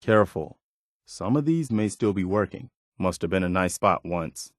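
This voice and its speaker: An actor playing an earnest, dutiful militia soldier in a post-apocalyptic video game, speaking in a plain, folksy way. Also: male voice, low voice, expressive, moderate pace, dry recording, studio quality. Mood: earnest, wary. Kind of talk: video game companion voice lines.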